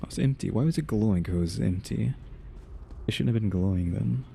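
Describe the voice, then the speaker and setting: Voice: medium pitch, a little fast, vocal fry, dark tone, a little hiss or hum. A gamer giving casual, offhand commentary in muttered asides while playing. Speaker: gamer commenting over gameplay. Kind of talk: casual let's play commentary.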